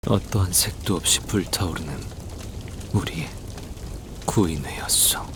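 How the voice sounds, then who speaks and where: A man's voice: low-pitched, background noise. An actor playing a weary, desolate man who speaks in solemn, archaic, literary phrases for a dramatic game. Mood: somber, bitter.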